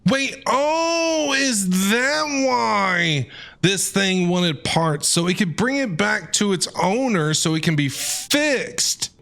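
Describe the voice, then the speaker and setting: A man's voice: sits high, animated, takes it slowly, vocal fry, a bit bright. A man giving casual, informal game commentary, thinking aloud as he plays. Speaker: game streamer.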